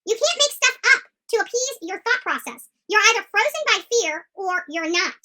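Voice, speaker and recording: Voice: extremely high pitch, big pitch swings, normal speed, pushed and bright. A true crime commentator who speaks in an opinionated, emphatic and combative way, often repeating phrases for force. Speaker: online commentator.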